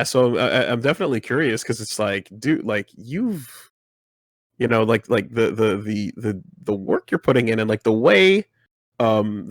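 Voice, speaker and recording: A man with a low voice hosts a loose, casual conversation. He rambles and halts, stacking up fillers as he talks. The recording is dry and close-miked. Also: dry recording. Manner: rambling, halting, many fillers. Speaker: podcast host. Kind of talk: casual chat.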